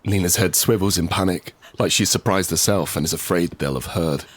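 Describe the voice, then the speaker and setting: A man playing a frightened, desperate father in an apocalyptic audio drama, speaking with halting, intense urgency. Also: low-pitched, slight background noise, lightly acted. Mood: tense, grim. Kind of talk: first-person scripted audio drama narration with acted dialogue.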